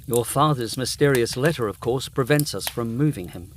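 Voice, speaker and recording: An actor playing a courteous, earnest gentleman investigator in a gothic audio drama, with a genteel old-fashioned manner. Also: mid-range voice, moderate pace, slight background noise, close to an everyday voice. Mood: earnest, puzzled.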